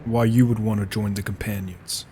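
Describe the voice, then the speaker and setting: A man's voice: lowish voice, flat delivery, normal speed, mellow, a little hiss or hum, natural voice. A man roleplaying a reclusive, observant warrior in a fantasy game, musing to himself in muttered asides over a flawed home mic. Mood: guarded, polite. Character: reclusive male warrior, wry, observant wanderer. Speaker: player roleplaying a character in a game.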